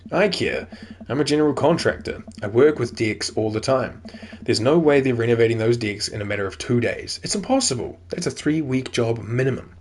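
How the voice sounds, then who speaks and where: A man's voice: mid-range voice, lively intonation, a little fast, darkish tone, a little hiss or hum, close to an everyday voice. A man with a New Zealand accent voicing a friendly, laid-back middle-aged contractor dad in a dating game. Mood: easygoing.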